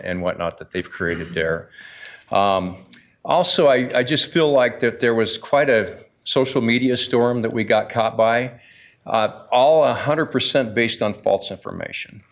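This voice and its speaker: A man in his sixties from Idaho, a property developer giving earnest, insistent public testimony at a city council podium, often restarting his phrases. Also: on the low side.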